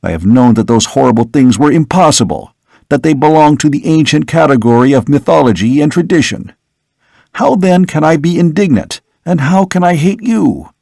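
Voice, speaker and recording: An agitated young man who pleads and insists with rising passion, as a male narrator puts him on. He sits noticeably higher than the reader's own voice, in a storybook reading. The sound is close and dry. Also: animated, normal speed, creaky, darkish tone, no room sound. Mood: impassioned. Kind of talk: audiobook character reading.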